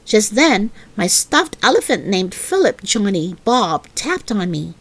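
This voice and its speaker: A woman narrating a children's book aloud in an expressive, deliberate storytelling style. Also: medium pitch, breathy edge.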